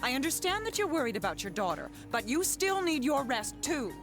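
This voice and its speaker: An actress playing an exasperated, brisk hospital nurse with a firm, scolding manner in a video game. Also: female voice, sits high, very animated, a bit bright, soft background music, heavily acted.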